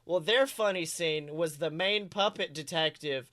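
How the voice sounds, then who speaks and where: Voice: medium pitch, a little slow, a bit bright. A podcast co-host chatting casually and comedically about movies, rambling, jokey and loose with swearing.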